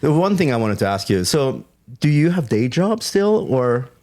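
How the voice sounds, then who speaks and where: A man's voice: medium pitch, very animated, moderate pace, darkish tone. A man whose first language is Swedish, hosting a casual podcast interview, laughing often and chatting in a friendly way. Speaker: podcast host.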